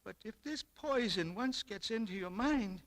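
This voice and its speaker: A weary, remorseful shop owner in 1940s radio style. He speaks very slowly and haltingly, with grave feeling. The sound is that of an old broadcast. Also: sits high, animated, fairly bright tone, heavily acted.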